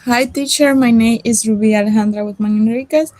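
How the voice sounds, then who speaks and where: A woman in her twenties from El Salvador introducing herself to her English class, speaking with long pauses. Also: on the high side, fairly even intonation, a little mellow, no room sound. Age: 20s. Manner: slow, pausing between words. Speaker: student.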